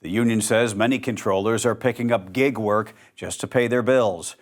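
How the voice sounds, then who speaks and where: A man reading scripted news as the anchor, in a measured, even newsreader delivery. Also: on the low side, noisy background.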